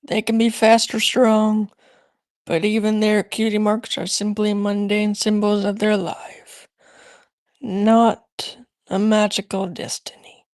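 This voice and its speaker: A woman voicing a patient, gently dismissive schoolteacher in a cartoon fan drama, explaining in a measured way. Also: medium pitch, level tone, no room sound, clean studio sound, lightly acted. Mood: instructive. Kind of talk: scripted cartoon fan voice acting.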